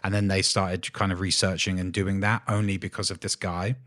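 A man's voice: sits low, flat delivery, brisk, a bit bright. A man hosting a podcast interview in a casual, informal and inquisitive way, with some swearing. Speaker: podcast host.

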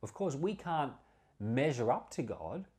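A man's voice: medium pitch, very animated, a little slow, a little mellow. A man giving informal Bible teaching as a pastor, speaking earnestly and conversationally with emphatic repetition. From Australia. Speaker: pastor, Bible podcast host.

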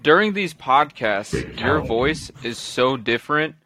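A man's voice: mid-range voice, lively intonation, slow pace, sharp tone. A man co-hosting a podcast, rambling and apologetic in casual chat, with many restarts. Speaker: podcast co-host, streamer.

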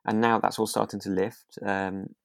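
A British co-host talks casually on a relaxed podcast. The voice is very low with a noticeable creak, recorded close and dry. The delivery is conversational and a little halting. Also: dry recording. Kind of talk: relaxed casual chat about a radio drama.

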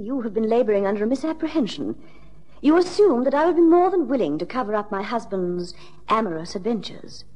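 A haughty, bitter society wife in a 1960s radio drama. She speaks precisely in a husky, expressive voice, coolly calculating. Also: medium pitch, low-quality recording. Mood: composed, condescending. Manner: precise, haughty, measured formal diction.

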